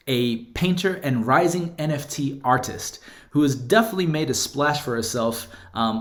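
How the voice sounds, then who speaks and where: A man's voice: medium pitch, echoey room. A man hosting an interview podcast, upbeat and affirming toward his guest. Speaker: podcast host.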